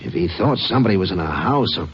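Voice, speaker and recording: An American actor playing a plain-spoken, folksy rural sheriff in a 1950s radio drama. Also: male voice, low-pitched, lively intonation, fast talker, slightly rough, heavily acted. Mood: sober. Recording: dull, faintly noisy vintage radio recording.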